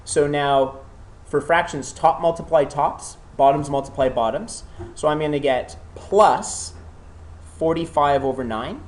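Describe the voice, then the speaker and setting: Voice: medium pitch, unhurried. Teacher with a cold leading class. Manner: checks in often with the class, patient and encouraging. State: has a cold. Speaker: math teacher. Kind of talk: classroom lecture with questions to students. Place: classroom with students.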